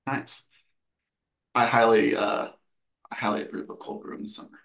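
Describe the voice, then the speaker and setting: Podcast host, male, gravelly mid voice, halting interview questions. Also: medium pitch, steady tone, fairly bright tone.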